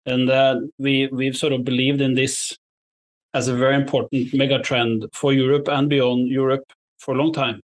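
Measured man giving careful interview answers. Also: medium pitch, little pitch movement, low-quality recording. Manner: measured, diplomatic, careful wording. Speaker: investor, interview guest.

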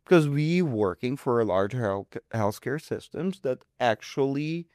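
Male interview guest, middle-aged surgeon, Ukrainian accent, emphatic explainer, mid-pitched, close dry sound. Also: animated, measured pace, a little mellow, dry recording. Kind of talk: conversational interview.

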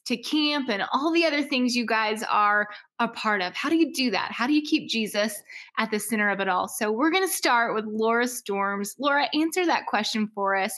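A woman's voice: a little high, a bit bright. A woman from Mississippi, co-hosting a podcast in an upbeat, chatty way. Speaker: podcast co-host.

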